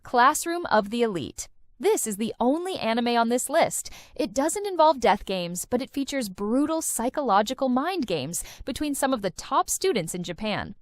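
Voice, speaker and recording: A woman's voice: fairly high pitch, very animated, moderate pace, no echo. A woman narrating a countdown of film and series recommendations in an enthusiastic, recommending tone. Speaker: video host. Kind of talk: scripted list-video narration.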